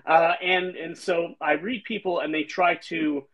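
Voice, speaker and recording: A man's voice: fairly high pitch, steady tone, a little slow, fairly bright tone, dull audio. A man hosting a casual chat on a video call, rambling thoughtfully with lots of fillers. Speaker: host and author. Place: home room, indoors.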